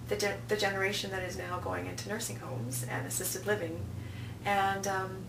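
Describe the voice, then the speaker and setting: A woman talking conversationally as an interview guest, stressing key words as she promotes events. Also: medium pitch. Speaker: interview guest, film festival programming director. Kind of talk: casual promotional interview talk. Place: indoor room, on-location video interview. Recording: roomy, distant mic, clear background noise.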